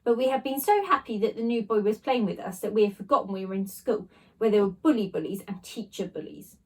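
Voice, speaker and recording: A woman teacher reading a children's storybook aloud with expressive narration. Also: mid-range voice, fairly quick.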